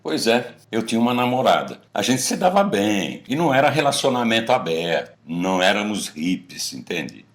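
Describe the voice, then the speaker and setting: A man acts out a middle-aged Brazilian storyteller among old drinking friends. His voice sits on the low side and swings widely in pitch. He talks colloquially, as in a radio drama about confessions. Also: sing-song, normal speed, hardly any pauses. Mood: reminiscing. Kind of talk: radio drama acting, casual bar chat.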